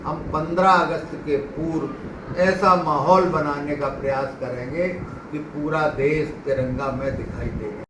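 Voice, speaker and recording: A man with a slightly high voice delivers a political press statement in deliberate, oratorical phrases. He is a little far from the mic in an echoey room. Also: steady tone. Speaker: political party leader. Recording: echoey, distant mic, clear background noise.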